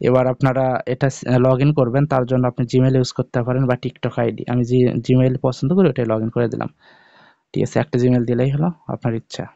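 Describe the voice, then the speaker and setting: A man walks viewers through steps in an informal tutorial style, often checking that they follow. His voice is mid-pitched and relaxed with a soft, dark tone. The recording is dry and somewhat dull, lacking crispness. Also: a little fast, dry recording. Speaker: tutorial video host. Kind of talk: informal step-by-step phone app tutorial.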